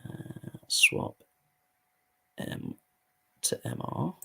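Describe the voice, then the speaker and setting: A hoarse man giving casual, sweary commentary while playing a football management game. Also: very slow, pushed and bright. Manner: swears freely, muttering asides while playing. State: hoarse, voice going. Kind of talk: casual live gaming commentary.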